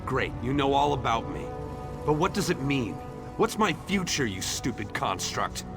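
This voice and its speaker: A man's voice: mid-range voice, sing-song, moderate pace, gravelly. A man playing a wry, sceptical townsman in conversational video game dialogue. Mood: impatient, sardonic. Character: wry, sceptical male townsman seeking his fate. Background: faint game music.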